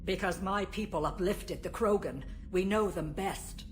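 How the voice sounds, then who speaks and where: A woman's voice: mid-range voice, measured pace, faint music behind, natural voice. A woman playing a haughty, stubborn female alien political leader in a video game, imperious and cold. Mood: scornful.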